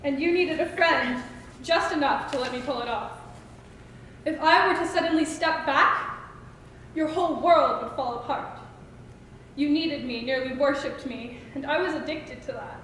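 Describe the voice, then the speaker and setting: A teenage woman reciting slam poetry with dramatic, deliberate phrasing in an echoing hall. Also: high-pitched, fairly bright tone. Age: teens. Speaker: student poet. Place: school open mic performance in a reverberant room. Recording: echoey hall with faint noise.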